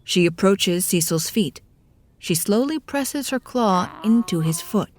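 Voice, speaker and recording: A woman's voice: medium pitch, animated, unhurried, darkish tone. A woman narrating a scripted audio drama in a storybook style. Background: music with guitar.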